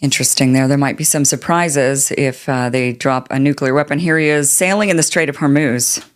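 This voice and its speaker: A woman's voice: rumbling low, a little fast. A woman hosting an interview, asking questions in a casual, conversational style. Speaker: interviewer, host.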